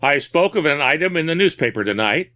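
A man's voice: a little high, animated, a little fast, pushed and bright, voice pushed up. A man voicing a gaunt old sea captain in a story, grave and cryptic, with archaic, folksy seafaring phrasing. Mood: grave, ominous.

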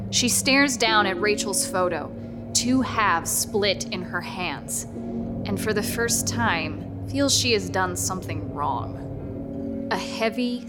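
A woman reads as a solemn female narrator of a scripted horror drama. She has a medium-pitched voice and tells the tale slowly, with measured suspense. Music runs clearly underneath. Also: close to an everyday voice.

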